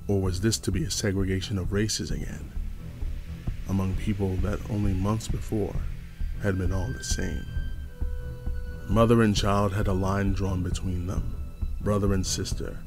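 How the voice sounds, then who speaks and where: A man delivering dramatic scripted narration for a dystopian fantasy, solemn and measured, with deliberate phrasing. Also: low-pitched, steady tone. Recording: music behind the voice. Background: background music.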